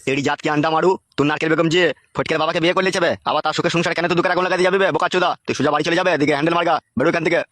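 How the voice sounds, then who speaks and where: A man's voice: fairly high pitch, steady tone, very fast, hardly any pauses, fairly bright tone, no room sound, natural voice. A man voicing a swaggering, abusive village gang boss in a comedy cartoon. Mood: threatening. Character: foul-mouthed, bullying village club gang boss.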